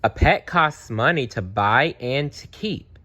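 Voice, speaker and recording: A man voicing a patient, serious turtle father in a storybook, reasoning with his child in a measured way. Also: mid-range voice, animated, sharp tone, voice pushed up, heavily acted.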